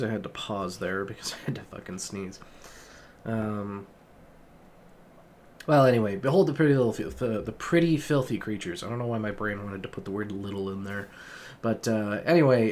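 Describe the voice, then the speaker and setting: A young man talks through an album review in a loose, rambling way, stopping and restarting his sentences. He is under the weather, and his voice sounds sniffly and worn, sitting at a middling pitch for a man. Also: slight background noise. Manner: rambling, many fillers and restarts. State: sick, sniffly voice. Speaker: music vlogger. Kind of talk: casual spoken album review.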